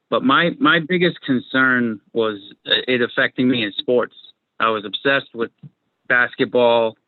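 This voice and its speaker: A man's voice: medium pitch, dry recording. A young man from Massachusetts with a Massachusetts accent, chatting casually as a guest over a video call, rambling and repeating his words. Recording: dry tinny video call audio.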